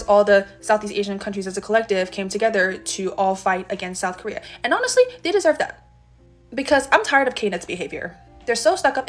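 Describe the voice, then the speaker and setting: A woman casually explaining a situation in a critical, halting way, with some throat-clearing. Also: mid-range voice.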